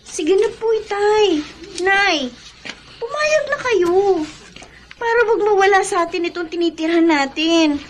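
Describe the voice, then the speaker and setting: An actress playing a dutiful young daughter of a poor family, earnest and respectful, in a Filipino radio drama with a Filipino accent. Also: female voice, extremely high pitch, measured pace, long pauses. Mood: earnest, reassuring.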